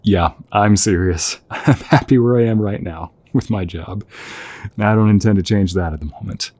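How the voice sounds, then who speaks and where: Man acting a deep, husky young soldier, affectionate. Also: moderate pace, no echo, natural voice. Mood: sincere, affectionate. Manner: gentle, affectionate, hesitant, chuckling conversational delivery. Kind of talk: intimate audio roleplay acting.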